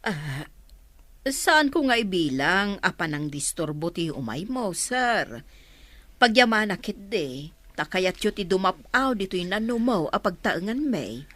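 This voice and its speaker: An actress with an Ilocano Filipino accent playing a warm, hospitable older provincial mother in a radio drama. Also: fairly low pitch, sing-song, a little slow, no room sound, exaggerated voice. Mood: welcoming.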